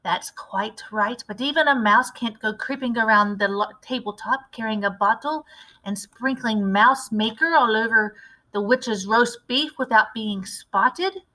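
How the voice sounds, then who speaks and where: This is a loving old grandmother from a storybook, played by a woman reader. She speaks in a measured, bright voice, shrewd and cautious, fondly admonishing and encouraging. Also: fairly high pitch, poor audio. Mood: practical.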